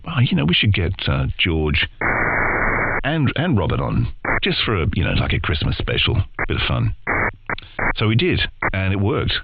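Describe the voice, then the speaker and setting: Australian male, very low register, casual interviewee delivery, muffled lo-fi radio audio. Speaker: interview guest, podcast co-host in voiceover and audio. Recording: dull lo-fi radio audio, loud noise, clicks.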